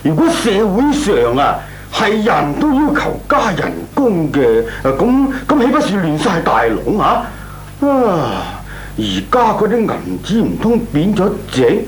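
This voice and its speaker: A male actor playing a shrewd, mocking company boss in a comic radio drama, with a Hong Kong accent, drawn-out interjections and feigned bafflement. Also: sits high, wildly swooping pitch, a little hiss or hum, exaggerated voice. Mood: incredulous.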